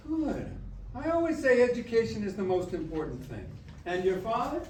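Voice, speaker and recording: A man's voice: high voice, very animated, moderate pace, a little mellow. A man acting a playful, loving grandfather in a staged reading, with an emphatic, theatrical delivery. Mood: caring. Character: playful, loud, loving grandfather back from death. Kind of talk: staged musical reading, acted dialogue. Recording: distant, echoey room with faint noise.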